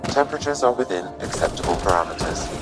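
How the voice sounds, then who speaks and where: An actor voicing a calm, precise male artificial intelligence that gives formal, clipped status reports in a video game. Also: sits low, fast talker, over loud music. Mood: calm, clinical. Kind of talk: video game dialogue acting.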